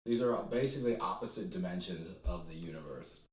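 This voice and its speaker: Guest, man in his 20s, from Ukraine; low, easygoing, a bit rambling; roomy sound. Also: fairly quick, a little mellow. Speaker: podcast guest. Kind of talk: casual interview chat.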